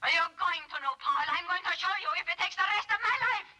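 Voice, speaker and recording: Casting: domineering female choreographer in vintage radio play; bright, cutting voice, taunting, slightly distant from the mic. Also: extremely high pitch, fast, soft background music, heavily acted. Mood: bitter, menacing. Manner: imperious, taunting. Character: domineering, vengeful woman ballet choreographer. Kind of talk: 1940s radio acting.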